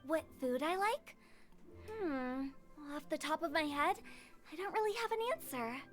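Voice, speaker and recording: A woman's voice: high-pitched, lively intonation, a little slow, a bit bright, soft background music. A woman voicing a quirky, cheeky teenage girl in an anime video game, playful and theatrical as she muses. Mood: musing, breezy.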